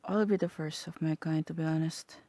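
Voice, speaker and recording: A woman voicing a sly, teasing demon in a half-whispered ASMR roleplay, languid and wry, with a husky voice. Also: low voice, steady tone, brisk, dark tone, no echo, lightly acted. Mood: teasing, coaxing. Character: sly, teasing demon tempting a human toward hell.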